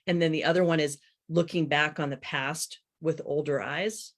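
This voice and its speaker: A woman's voice: low voice, fairly even intonation, moderate pace. Conversational woman author in her fifties. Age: 50s. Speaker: guest author. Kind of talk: casual interview chat.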